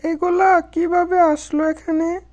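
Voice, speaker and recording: A woman's voice: extremely high pitch, little pitch movement, takes it slowly, darkish tone, no echo. A woman voicing a childlike, pleading village female in a children's cartoon, in a slightly muffled recording. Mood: urging. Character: village girl or wife, childlike, complaining, cartoon.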